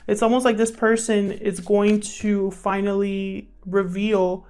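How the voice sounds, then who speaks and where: A woman hosts an improvised tarot reading with music playing behind her. Her voice sits at a middling pitch for a woman. She talks conversationally, thinking aloud as she addresses the cards and her viewers. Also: level tone, takes it slowly, darkish tone. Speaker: tarot reader, channel host. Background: background music.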